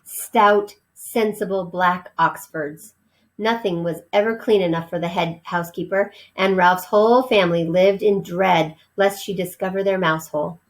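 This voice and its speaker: A woman's voice: lowish voice, steady tone, moderate pace, rough recording. A woman reading a children's storybook aloud as narrator, explaining things as if to young listeners.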